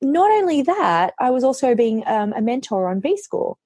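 Hoarse, animated woman telling stories. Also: sits high, normal speed, no room sound, clean studio sound. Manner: animated storytelling, quotes herself. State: hoarse after losing her voice. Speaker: author. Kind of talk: casual podcast chat.

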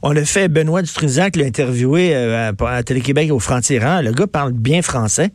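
A man's voice: medium pitch, expressive, brisk, dry recording, clean studio sound. A man with a Quebec French accent hosting a radio talk show, prompting his co-host with news items. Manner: conversational, prompting.

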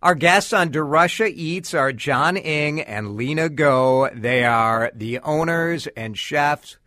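A man's voice: a little high, animated, takes it slowly, pushed and bright, no echo. A man hosting a radio interview show, talking with guests in a studio in an upbeat, enthusiastic way. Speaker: radio host.

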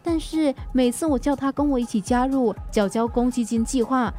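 An actress plays a thirty-something wife with a high, emotive voice. She is earnest and easily wounded, pleading her case in radio-drama style. Faint music sits behind her. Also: moderate pace, warm tone, natural voice. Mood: weary, aggrieved. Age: 30s.

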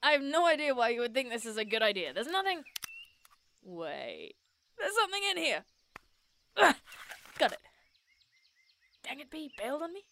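Actress as a high, lively, brash young woman adventurer. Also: normal speed, pauses often, a little hiss or hum, exaggerated voice. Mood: startled, excited. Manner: slangy, swearing, teasing, excitable. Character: brash, foul-mouthed, sarcastic young woman on a monster hunt. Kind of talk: audio drama acting.

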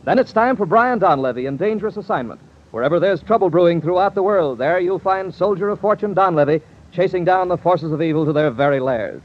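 A man's voice: sits high, lively intonation, a little fast. A man with an American accent announcing in a formal, dramatic, scripted 1950s radio style. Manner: dramatic, formal promotional delivery. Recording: dull vintage radio recording, music behind.

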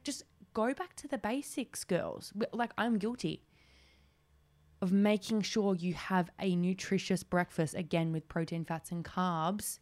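A woman's voice: mid-range voice, darkish tone. A woman in her twenties hosting a solo podcast, talking in a chatty, rambling way with lots of "like". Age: 20s. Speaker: podcast host.

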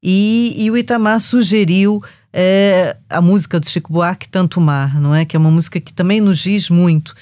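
A Brazilian-born woman journalist hosting a podcast interview, reflective and warm, often restarting her phrases. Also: on the low side, normal speed, hardly any pauses, no room sound, clean studio sound.

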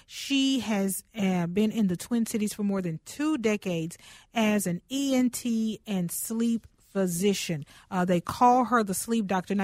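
A woman's voice: on the high side, no room sound, clean studio sound. Chatty woman radio host. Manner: chatty, personal, animated. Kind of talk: radio interview. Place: radio studio. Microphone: studio microphone.

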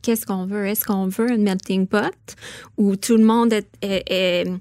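A woman's voice: medium pitch, steady tone, measured pace, a little mellow, no echo, studio quality. Thoughtful woman with an English Canadian accent. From Saskatchewan, Canada. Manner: thoughtful, hesitant with many fillers. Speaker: author and parliamentary correspondent, guest. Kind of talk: radio interview.

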